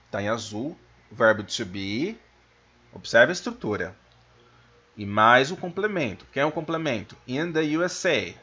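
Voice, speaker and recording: Husky Brazilian man teaching, slightly muffled. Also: medium pitch, pushed and bright. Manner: explanatory, slows down to enunciate examples. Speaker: English teacher. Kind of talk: informal video lesson. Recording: dull, lo-fi microphone sound.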